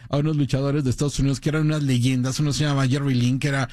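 A man co-hosting a sports talk show, telling stories in a lively, chatty way. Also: mid-range voice, very fast, dry recording, clean studio sound. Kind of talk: casual sports chat.

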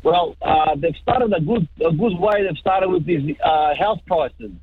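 A man's voice: a little high, unhurried, husky, no echo. A man in his forties with an Australian accent, calling in to a talkback radio show, opinionated and rambling. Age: 40s. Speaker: caller. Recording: tinny phone line, dry.

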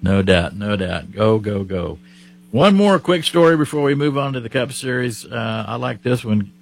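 Gravelly Oklahoma man hosting a racing show. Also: mid-range voice, moderate pace, a little hiss or hum. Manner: folksy, relaxed delivery with frequent fillers. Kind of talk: casual motorsport chat.

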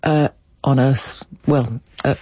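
A woman's voice: very deep voice, fairly even intonation, measured pace, mellow, slightly rough, dry recording. A woman in her sixties talking casually as a guest in a radio studio interview. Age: 60s. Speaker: studio guest, writer and broadcaster.

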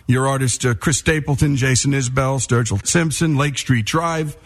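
A man's voice: mid-range voice, normal speed. A man from northern New Jersey with a New Jersey accent, hosting a radio interview show and talking up his guest with enthusiasm. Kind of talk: radio interview with scripted intro.

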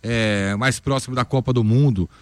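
A man's voice: medium pitch, normal speed, no echo. A man hosting from the radio studio, talking in a conversational way and asking questions of a reporter in the field. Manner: conversational, asks many questions. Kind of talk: live radio cross-talk.

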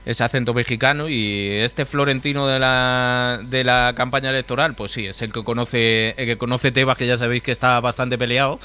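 A man's voice: mid-range voice, fairly even intonation, normal speed, few pauses, bright, forceful tone, clear, resonant tone. A man delivering a conversational live sports report. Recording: noisy ambient background.

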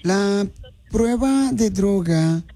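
A man's voice: high-pitched, expressive, drawn-out words, a crowd in the background. A man hosting a radio prank call, playing a mock-serious interviewer with a teasing edge.

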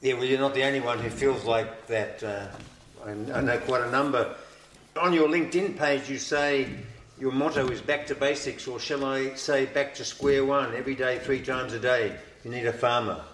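A man's voice: mid-range voice, steady tone, moderate pace, fairly bright tone. Male interviewer, chatty, echoey room, faint background hiss. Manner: chatty, leading questions. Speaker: interviewer, show host. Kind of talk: conversational interview questions.

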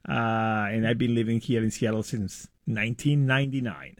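A man's voice: medium pitch, a little fast, dry recording. Argentine man, middle-aged radio producer, eager and chatty, dry close sound, mid-range voice. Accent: Argentine Spanish. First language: Spanish. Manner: enthusiastic, warm storytelling with hesitations. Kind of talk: casual radio interview.

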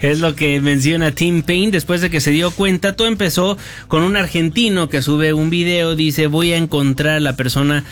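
A man co-hosting a broadcast show, telling stories in a chatty, lively way and laughing easily. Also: fairly high pitch, moderate pace. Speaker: co-host. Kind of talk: casual chat on a broadcast show.

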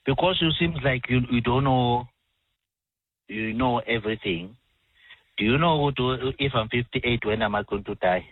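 Man in his fifties, from South Africa, radio caller, halting and hesitant, tinny phone line. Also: mid-range voice, dry recording. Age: 50s. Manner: hesitant, halting, many fillers.

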